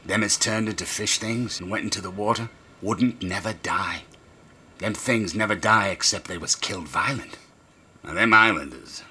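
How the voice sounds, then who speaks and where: A half-mad old New sailor in a radio drama. His voice is low-pitched and piercingly sharp, full of grammar, secrecy and fear. A faint ambience sits behind him. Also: slight background noise. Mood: secretive, eerie. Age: older. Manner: folksy, dropped grammar, dramatic whispers rising to shrieks. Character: rambling, half-crazed old drunken sailor, secretive and fearful.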